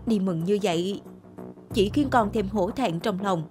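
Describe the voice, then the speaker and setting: A woman's voice: medium pitch, measured pace, music in the background, close to an everyday voice. Woman as a humble, earnest young peasant man. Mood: ashamed, resolute. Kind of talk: animated historical folktale voicing.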